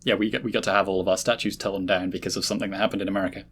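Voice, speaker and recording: Male, low voice, rapid pace, discursive and self-correcting, podcast panel chat. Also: fairly even intonation, fairly bright tone, slightly rough. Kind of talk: casual political discussion.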